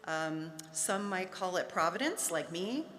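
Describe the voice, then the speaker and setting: A woman's voice: on the low side, expressive, unhurried, fairly bright tone, a little hiss or hum. A woman in her fifties giving a heartfelt induction speech at a podium, with light humorous asides. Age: 50s. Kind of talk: prepared ceremony speech. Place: large hall at an awards ceremony. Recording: echoey hall with faint ambience.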